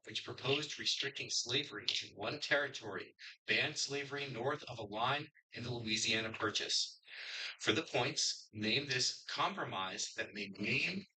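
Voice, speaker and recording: A middle-aged man from Connecticut, moderating a history quiz and reading questions in a brisk, upbeat way. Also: mid-range voice, pushed and bright, low-quality recording.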